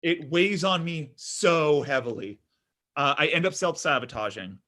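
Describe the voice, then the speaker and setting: A man's voice: on the high side, expressive, unhurried, pushed and bright. A man acting a needy, self-dramatizing man in his thirties at a comedy table read, exasperated and argumentative. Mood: pleading. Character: needy, whiny, self-dramatizing thirty-four-year-old son.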